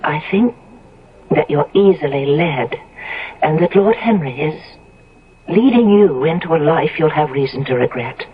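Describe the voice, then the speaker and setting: An actress playing a devoted, proper young fiancée in a 1970s radio drama, with measured, emotive and formal phrasing. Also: female voice, low-pitched, lively intonation, over a noisy crowd. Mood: grave, pleading. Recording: dull lo-fi old radio broadcast.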